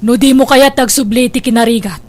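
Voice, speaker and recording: A woman's voice: on the high side, a little fast, over music, close to an everyday voice. A woman plays a wealthy, betrayed wife in a radio drama. She is bitter and scornful, delivering her words with hard emphasis. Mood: scornful, angry. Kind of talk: Filipino radio drama acting.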